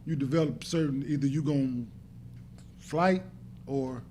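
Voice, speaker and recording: A man's voice: medium pitch, fairly even intonation, unhurried, dark tone, slight background noise. Man who stutters, talking bluntly. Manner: stutters, restarts words; blunt, slangy, laughs through stories. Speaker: guest. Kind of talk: casual chat. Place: podcast studio.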